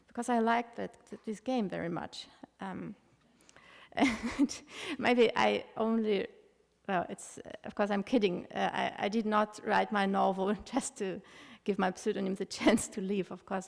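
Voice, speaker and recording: Gravelly-voiced woman, hesitant. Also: mid-range voice, darkish tone. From Germany. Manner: hesitant, many fillers, wry. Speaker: panel author. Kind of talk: panel discussion. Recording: reverberant hall.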